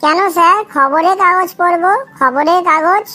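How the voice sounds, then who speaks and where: A woman's voice: very high-pitched, normal speed, pushed and bright, faint music behind. A woman voicing a cheeky, silly young schoolboy in a comedy cartoon. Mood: eager, smug. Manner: cheeky, earnest silly answers. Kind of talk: comedy cartoon dialogue in Bengali.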